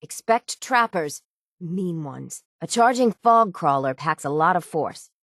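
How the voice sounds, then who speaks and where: A woman voicing a gruff, stubborn, hard-bitten shipwright in a video game, with salty, plainspoken seafaring talk. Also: lowish voice, expressive, a little slow, no echo, studio quality. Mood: gruff, brisk. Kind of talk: video game character dialogue.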